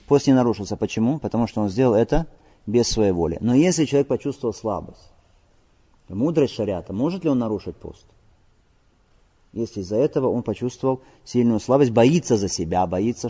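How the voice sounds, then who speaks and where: Guy teaching islam, dark soft raspy voice, asks then answers himself, dull lo-fi sound. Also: fairly low pitch. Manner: didactic, asks rhetorical questions and answers them; mixes Arabic recitation and terms into Russian. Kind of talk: religious lesson, explaining hadith line by line. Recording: dull lo-fi audio in reverberant hall.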